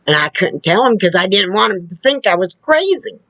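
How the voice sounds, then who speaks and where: A woman telling personal stories in a casual way, with a loud, carrying voice and a slow, halting delivery full of restarts and asides. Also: medium pitch, big pitch swings, no echo. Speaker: storyteller. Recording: dull lo-fi recording.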